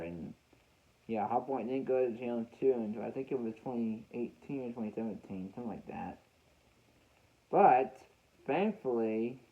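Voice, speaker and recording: Halting young American man, muffled lo-fi recording. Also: mid-range voice. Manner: halting, repeats and rephrases phrases. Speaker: weather radio hobbyist vlogger. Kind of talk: casual unscripted storytelling to viewers. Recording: dull, muffled lo-fi home recording.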